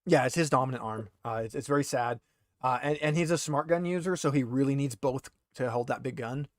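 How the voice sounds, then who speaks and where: A man's voice: mid-range voice, no room sound. Casual game master, dry close-recorded man. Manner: casual, halting, thinking aloud. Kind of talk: explaining rules and narrating a tabletop game.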